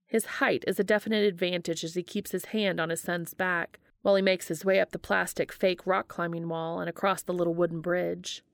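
Woman reading a fanfic audiobook, voice a bit low, no room sound at all. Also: level tone, a little fast, vocal fry, few pauses, no echo, studio quality. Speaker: fan fiction audiobook reader. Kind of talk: scripted story narration.

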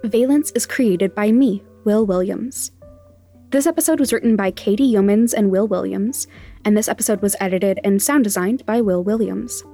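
A woman's voice: medium pitch, normal speed, mellow. A woman reading scripted end credits as the creator of a podcast. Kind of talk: scripted narration. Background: faint music.

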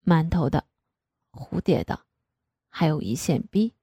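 An indulgent, coaxing middle-aged father speaks in a lowered, husky voice with a dark, mellow tone. A woman acts him out in a storybook reading, recorded close and dry. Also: expressive, measured pace, dry recording, heavily acted.

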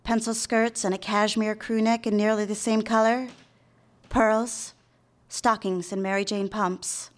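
An actress playing a demure young secretary in a live radio drama, confessing a secret love in polite, old-fashioned diction. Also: female voice, medium pitch, flat delivery, unhurried, lightly acted. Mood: dutiful, wistful. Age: young adult. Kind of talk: live radio drama with first-person narration.

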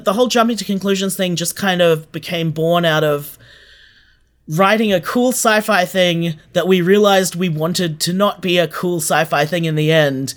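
An Australian podcast creator speaks in a medium register. The delivery is casual and conversational, that of an interviewee thinking out loud while explaining. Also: mid-range voice.

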